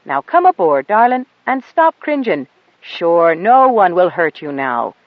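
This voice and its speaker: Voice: mid-range voice, sing-song, a little slow, no echo, narrow, tinny audio. An audiobook narrator voicing a kind, blunt airship pilot with a folksy way of talking. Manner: warm, folksy, drops g's.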